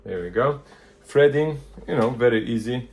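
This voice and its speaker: A man presenting a casual hands-on product demonstration, thinking aloud in loose, halting phrases. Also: mid-range voice, lively intonation, creaky, a little mellow, slight background noise. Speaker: vintage hi-fi video presenter.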